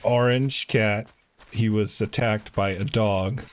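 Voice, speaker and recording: Low-voiced man chatting on a game stream. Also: fairly even intonation, takes it slowly. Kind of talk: casual chat while live streaming a game.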